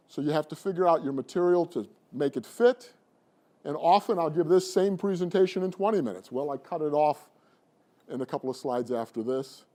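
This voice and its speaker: A middle-aged man coaching public speaking, talking to a class in an animated, conversational way. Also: a little high. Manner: animated and conversational, addressing the room. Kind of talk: presentation to a class.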